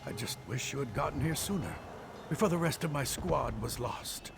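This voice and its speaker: An actor playing a nervous, scholarly alchemist in a video game, explaining things in an articulate, earnest and theatrical way. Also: male voice, mid-range voice, expressive, normal speed, gravelly, over music. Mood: anxious, defensive. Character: nervous, scholarly male alchemist obsessed with enemy magic.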